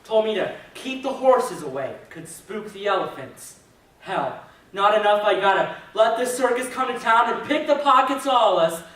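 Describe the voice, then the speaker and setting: Casting: man playing a resentful town lawman, grumbling, high male voice, theatrical, echoing stage. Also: expressive, normal speed, a bit bright. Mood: grudging, irritated. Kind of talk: theatrical monologue, readers theater. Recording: very echoey stage from far off.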